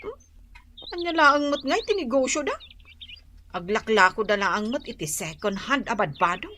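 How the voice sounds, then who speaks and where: An actress playing a gossipy, envious woman neighbor in a radio drama, complaining and quick with retorts. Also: fairly high pitch, animated, bright, forceful tone, a little hiss or hum.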